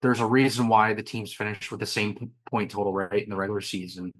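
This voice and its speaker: Low-voiced man, plain sports talk. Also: level tone, fast talker, fairly bright tone, a little hiss or hum. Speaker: co-host of a sports podcast. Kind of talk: casual sports chat.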